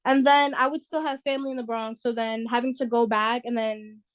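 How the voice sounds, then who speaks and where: Casting: young woman, somewhat high voice, easygoing, reflective podcast guest. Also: fairly even intonation, brisk. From New York. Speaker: guest singer. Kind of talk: casual interview chat.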